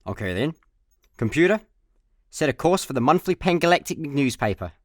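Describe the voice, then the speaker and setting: A man's voice: a little high, big pitch swings, moderate pace, dry recording, clean studio sound. A man with a Scottish accent playing a laid-back, dim, easily distracted slacker in a comedy sci-fi radio play. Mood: easygoing. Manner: casual, offhand.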